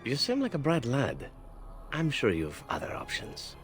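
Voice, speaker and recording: A man's voice: mid-range voice, huge pitch swings, a little slow, fairly bright tone, soft background music. A man playing a flippant, talkative assassin in a video game, glib and wry, with teasing tag questions. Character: roguish, flippant male assassin, cheeky and talkative captive. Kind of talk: video game character acting.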